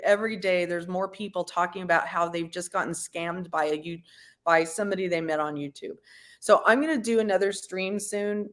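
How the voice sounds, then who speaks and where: A woman hosting a livestream, giving commentary to viewers in a halting, deliberate, explanatory way. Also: fairly low pitch. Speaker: livestream host.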